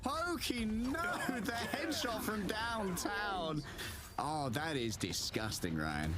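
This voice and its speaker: High, playful esports co-caster. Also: male voice, slow pace, over a noisy crowd. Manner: playful, excitable. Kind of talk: colour commentary.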